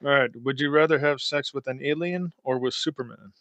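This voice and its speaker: A man hosts a loose chat at home, rambling, swearing freely and letting his sentences trail off. His pitch sits at an ordinary middle level. The sound is dry, with no room echo. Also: fairly quick, a bit bright. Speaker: podcast host. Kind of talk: casual chat.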